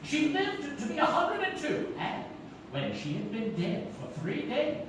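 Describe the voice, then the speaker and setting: A man's voice: very high voice, wildly swooping pitch, a little slow, full character voice. A man playing an imperious, caustic celebrity houseguest in a comic stage play, pompous and exclamatory in delivery. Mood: cutting. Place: live theatre stage. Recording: echoey theatre from far off, noisy.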